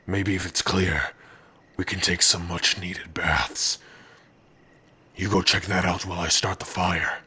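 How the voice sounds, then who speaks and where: A man playing a big, gruff orc with a rough, husky voice, teasing yet secretly soft-hearted, in an ASMR roleplay. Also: deep voice, flat delivery, measured pace, long pauses, full character voice. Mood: gruff, commanding. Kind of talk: ASMR roleplay addressed to the listener.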